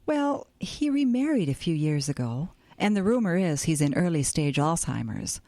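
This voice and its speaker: An older woman playing a fond, wry and chatty small-town grandmother in an expressive radio drama style. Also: low-pitched, moderate pace, dry recording. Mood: gossipy.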